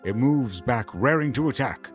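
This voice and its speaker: A man's voice: medium pitch, animated, normal speed, soft background music. A man narrating a scripted fantasy audio drama in the present tense.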